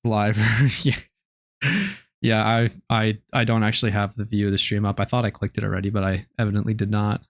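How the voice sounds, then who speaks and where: Young male streamer, low gravelly voice, dry close mic, laid-back late-night chatter. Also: brisk, no room sound. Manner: low-key, relaxed, rambling with many fillers. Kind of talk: casual live-stream chat while gaming.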